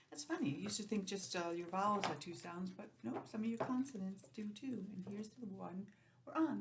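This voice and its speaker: A woman teacher giving an informal, encouraging lesson to young children, sounding out letters and counting deliberately. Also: lowish voice. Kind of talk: children's lesson, read-aloud introduction.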